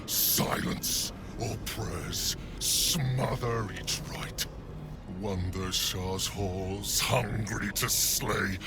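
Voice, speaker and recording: A man's voice: fairly low pitch, extremely slow. An actor playing a proud, bitter devil in a video game, theatrical and snarling, savoring his words. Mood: ominous chanting. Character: menacing male devil bound by a cruel contract, proud and bitter. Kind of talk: video game character acting. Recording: music behind the voice.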